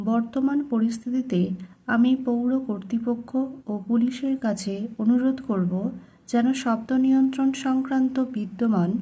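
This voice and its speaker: A woman tutor reading a model letter aloud as a lesson, in deliberate word-by-word, dictation-style phrasing. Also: a little high, mellow, poor audio. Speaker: English tutor.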